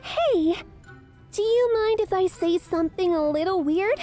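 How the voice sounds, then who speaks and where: A woman voicing a young female vampire boss in a manga dub, haughty yet curious and excitable, with expressive, cutesy delivery. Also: very high voice, unhurried, soft background music. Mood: eager, matter-of-fact. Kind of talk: anime-style manga dub acting.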